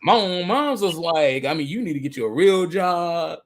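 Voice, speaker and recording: A man's voice: fairly high pitch, animated, moderate pace. An American man around thirty hosting a casual podcast, talking in an emphatic, slangy, conversational way. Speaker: podcast host and musician.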